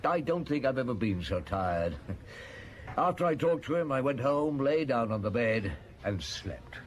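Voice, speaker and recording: An actor playing a weary, relentless middle-aged chief inspector in a radio detective drama, speaking formally and deliberately. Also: male voice, medium pitch, very animated, a little hiss or hum. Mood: tired, reflective.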